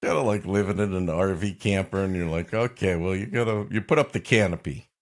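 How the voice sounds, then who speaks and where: A man's voice: sits low, fairly quick. A man co-hosting a podcast, riffing comically, laughing often and swearing casually. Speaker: podcast co-host. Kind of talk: casual comedic banter.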